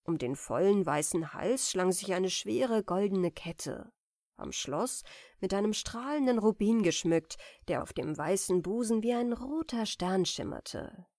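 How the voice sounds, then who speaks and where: A woman softly and deliberately narrating a fairy tale as a bedtime audiobook. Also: mid-range voice, no room sound, clean studio sound. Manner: soft, deliberate, expressive. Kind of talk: gentle bedtime story reading.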